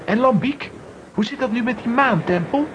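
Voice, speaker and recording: A woman's voice: on the high side, expressive, normal speed, lo-fi sound, background noise, lightly acted. A woman playing an earnest, brave young boy with a Flemish accent in a children's audio drama.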